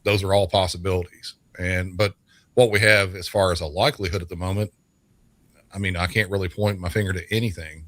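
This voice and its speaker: Low-voiced middle-aged man, expert guest. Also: fairly even intonation, fairly quick, fairly bright tone, no room sound. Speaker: 911 dispatcher as expert guest. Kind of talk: conversational expert commentary.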